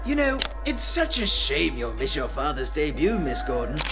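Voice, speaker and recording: A man's voice: on the high side, huge pitch swings, normal speed, over music. A man playing a gleeful, sadistic clown villain in a radio drama, theatrical and taunting. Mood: mocking menace.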